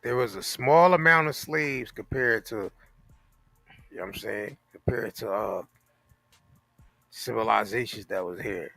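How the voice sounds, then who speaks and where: A man's voice: on the low side, unhurried, creaky, pauses often, a bit bright, no echo, faint music behind. An American man co-hosting a casual talk show, speaking in an emphatic, repetitive, slangy and profane way. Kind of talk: casual opinionated chat.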